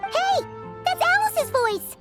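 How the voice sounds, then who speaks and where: A woman voicing a small, childlike fairy companion in a video game, squeaky, chirpy and excitable. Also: slow, music in the background, heavily acted. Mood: surprised. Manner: refers to self in third person, exclaims.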